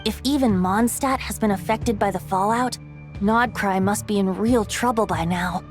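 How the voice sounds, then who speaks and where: A woman's voice: medium pitch, normal speed, faint music behind, close to an everyday voice. A woman voicing a playful young male bard who talks in a lilting, teasing storyteller way in a video game.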